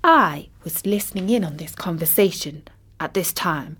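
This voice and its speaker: A wry old storybook vulture, played by a woman, telling a fable at a slow pace. The delivery is measured and dramatic, with a knowing air. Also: fairly low pitch. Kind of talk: scripted storytelling in character.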